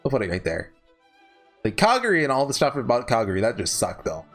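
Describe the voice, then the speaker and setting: A man's voice: mid-range voice, wildly swooping pitch, quick pace, a bit bright, soft background music. A man talking casually off the cuff as a YouTuber giving reviews, chatty, rambling and opinionated. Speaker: YouTuber host ranking visual novels.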